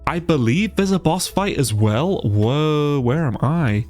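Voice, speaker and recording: A man's voice: on the high side, animated, slow pace, darkish tone, soft background music. A man with a stuffy, congested nose giving casual, sweary let's play commentary and sounding easily amazed. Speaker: gaming YouTuber, player.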